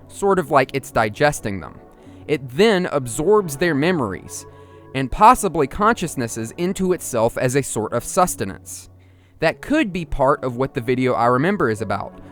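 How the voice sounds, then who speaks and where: Male video essayist, mid-pitched, thinking aloud through theories in an explanatory way, music bed behind. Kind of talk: video essay narration, speculative analysis. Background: music under the voice.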